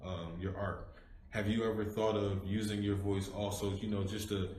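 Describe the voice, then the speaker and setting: A man's voice: lowish voice, little pitch movement, normal speed, darkish tone, a little hiss or hum. Laid-back male host, echoey room. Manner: laid-back, affirming with frequent mm-hmms. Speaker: interview host. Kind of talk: casual interview talk.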